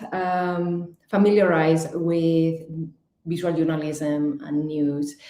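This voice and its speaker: A woman's voice: lowish voice, echoey room. Spanish-accented woman giving an online talk. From Spain. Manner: chatty, nervous, lively with fillers and restarts. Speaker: guest speaker, digital designer.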